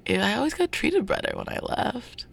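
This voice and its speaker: Gravelly man talking things through. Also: extremely high pitch, sing-song, brisk, a bit bright. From born in North Carolina, family from Zimbabwe. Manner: thoughtful, searching, many fillers and restarts. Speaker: guest, performer of a show. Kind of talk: reflective interview talk.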